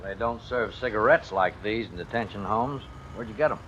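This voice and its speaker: A hard police detective played by a man presses curtly in a sharp, forceful voice. The sound is that of an old radio drama, dull with faint noise. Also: on the low side, moderate pace, lightly acted. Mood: accusing. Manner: curt, pressing. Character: hard, impatient police detective grilling a suspect.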